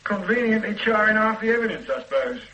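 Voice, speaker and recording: Brief: sardonic radio-play sergeant, lively high-ish voice, bright tone. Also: male voice, fast talker, rough recording. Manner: dry and sardonic. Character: wry, sardonic male police sergeant. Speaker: police detective sergeant. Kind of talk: radio drama acting.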